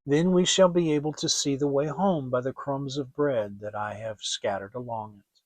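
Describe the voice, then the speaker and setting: A gentle young boy from a storybook, as a man acts him out, protective and comforting. He speaks in a soothing, reassuring manner, recorded dry and close. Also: mid-range voice, normal speed, dry recording, close to an everyday voice. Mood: quietly reassuring.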